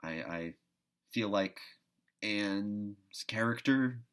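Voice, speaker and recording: A man's voice: on the low side, drawn-out words, a bit bright, clear, resonant tone. A man hosting a read-aloud, narrating a classic novel and commenting casually between readings. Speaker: host and reader of a read-aloud series.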